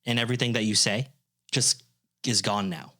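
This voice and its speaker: A man in his mid-twenties co-hosts a podcast. He talks in casual, teasing banter, pushing his jokes along and cracking into high laughs. His pitch sits in a typical middle range. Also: steady tone, normal speed, sharp tone. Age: 20s. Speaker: podcast co-host.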